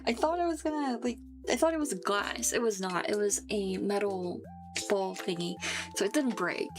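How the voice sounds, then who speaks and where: A woman's voice: medium pitch, normal speed. Sick woman rambling on a stream. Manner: rambling, many restarts, frequent laughs. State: sick with a fever, dry throat. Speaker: vtuber streamer. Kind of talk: casual live stream chat. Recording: background music under the voice.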